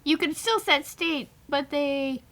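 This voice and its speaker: A woman's voice: high voice, slow, a bit bright. A woman voicing a playful bird mascot, a cheeky and eager coding sidekick in casual banter. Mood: curious, helpful. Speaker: guest co-host pair programmer.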